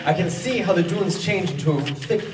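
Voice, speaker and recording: A man's voice: sits high, moderate pace, close to an everyday voice. A man playing an adoring, adventurous fur trader in an amateur stage radio play, speaking in a flowery, declamatory way. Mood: adoring, hopeful. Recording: echoey stage, distant mic, music behind.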